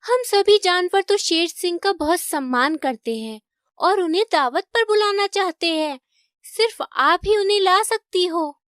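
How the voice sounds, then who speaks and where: Small cartoon rabbit: extremely high voice, plucky, polite and pleading, recorded dry. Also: female voice, animated, unhurried, fairly bright tone, dry recording, heavily acted. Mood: scheming. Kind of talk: animated moral-story cartoon voice acting.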